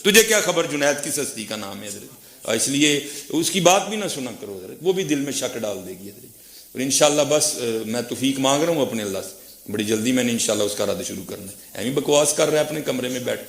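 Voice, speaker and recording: A man's voice: on the high side, a bit bright. Scornful male preacher in an echoey space. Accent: Pakistani Punjabi. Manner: scornful, taunting, repetitive. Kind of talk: fiery informal sermon. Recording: echoey, roomy sound.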